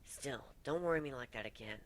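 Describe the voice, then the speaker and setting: A man voicing a tough but tender female griffin in a story, soft-spoken, teasing and affectionate. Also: mid-range voice, a little fast, lightly acted.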